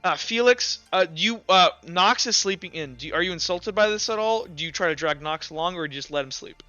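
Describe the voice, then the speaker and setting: A man's voice: high-pitched, a little fast, bright, forceful tone, no echo. A man casually narrating a tabletop game as game master, chatting informally with his players over a voice call. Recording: slightly dull online voice-call audio. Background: faint music.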